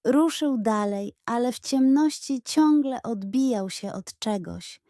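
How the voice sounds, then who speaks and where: A woman narrates a children's bedtime tale in a fairly high voice. She takes her time, very slowly building drama and suspense with expressive reading. The recording is dry, with no room sound. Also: no room sound. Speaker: storyteller.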